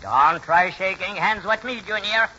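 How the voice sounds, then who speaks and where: Tiny brash heckler, slow delivery, sharp bright tone, taunting, noisy vintage radio comedy. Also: male voice, high voice. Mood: mocking. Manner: brash, taunting wisecracks in slangy speech. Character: cocky wisecracking midget man, brash and insulting. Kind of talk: 1940s radio comedy acting. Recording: dull, noisy 1940s radio recording.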